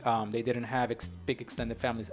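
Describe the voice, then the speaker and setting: A man from New York co-hosts a relaxed podcast chat, speaking in an easygoing way. He hesitates often, filling pauses with ums and starting sentences over. Faint music sits behind his voice. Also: mid-range voice. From New York, of Colombian family. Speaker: podcast co-host. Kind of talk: casual chat.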